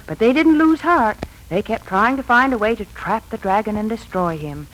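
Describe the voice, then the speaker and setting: A woman's voice: on the high side, expressive, normal speed, close to an everyday voice, rough recording. An actress playing an anxious, tender young wife and mother in a 1940s radio drama. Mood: storytelling. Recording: old 1940s radio recording with music.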